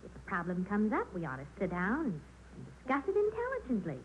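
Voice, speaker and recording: An American actress playing a sensible, long-suffering, wry middle-aged wife in a 1940s radio comedy. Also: female voice, mid-range voice, wildly swooping pitch, quick pace, muffled recording. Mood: reasonable. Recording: noisy old radio broadcast recording.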